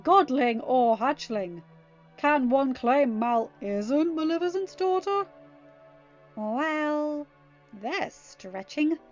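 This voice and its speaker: A woman's voice: high voice, lively intonation, takes it slowly, dull audio, soft background music, pitched above the performer's own voice. Woman voicing a scoffing, sardonic teenage girl. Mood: scornful. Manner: scoffing, dry.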